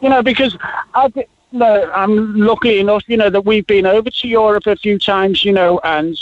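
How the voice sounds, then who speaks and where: A man's voice: high-pitched, steady tone, normal speed, no room sound, highs cut off. A man calling into a radio show, rambling cheerfully and filling his talk with "you know" and "right". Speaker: caller. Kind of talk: phone-in call.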